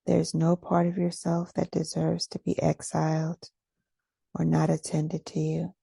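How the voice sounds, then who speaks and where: A woman leading a guided meditation in a soft, breathy voice, soothing and unhurried, pausing between short phrases. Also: low-pitched, monotone, no echo. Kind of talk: guided meditation, gentle scripted instruction.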